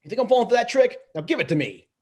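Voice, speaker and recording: A man reading the part of a scrappy, bossy plumber brother from a movie script, with a husky voice. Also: very high voice, very animated, racing, darkish tone, highs cut off, pitched above the performer's own voice, exaggerated voice. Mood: combative.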